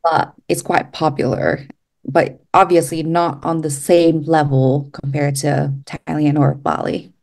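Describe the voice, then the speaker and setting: Lower-pitched woman. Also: fairly even intonation, a little slow, gravelly, darkish tone. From Vietnam. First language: Vietnamese. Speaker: podcast guest, travel company manager. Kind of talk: conversational interview answers.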